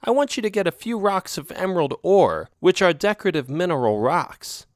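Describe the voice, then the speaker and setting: Isabel, a man doing a shrill pushy female cat. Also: sing-song, a little fast, no room sound, studio quality. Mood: wheedling. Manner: whiny and manipulative, breaking into sobs. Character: pushy, dramatic young female alley cat.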